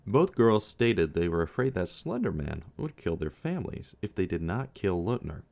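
A man giving scripted true crime narration, measured, with casual asides to the viewer. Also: low-pitched, big pitch swings, gravelly, darkish tone. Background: faint guitar music.

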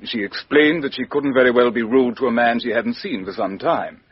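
An actor playing a pompous, careful British politician in a 1950s radio mystery, stiff and self-satisfied. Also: male voice, medium pitch, normal speed, slightly rough, dry recording, lightly acted. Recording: muffled 1950s radio drama recording.

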